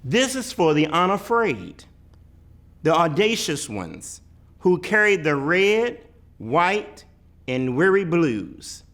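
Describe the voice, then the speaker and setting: A man giving a dramatic read-aloud of a poetic picture book, with deliberate, emphatic phrasing and weighty pauses. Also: fairly high pitch, very animated, fairly bright tone. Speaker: reader of a children's picture book.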